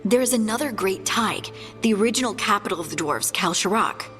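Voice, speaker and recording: A woman's voice: mid-range voice, animated, a little fast, a bit bright, close to an everyday voice. Thoughtful dwarf woman in a reverberant hall by an actress. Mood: earnest, explaining. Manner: explanatory, thoughtful. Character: thoughtful, earnest female dwarf scholar, curious and good-humoured. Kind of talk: video game character acting. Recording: long hall reverb, faint music.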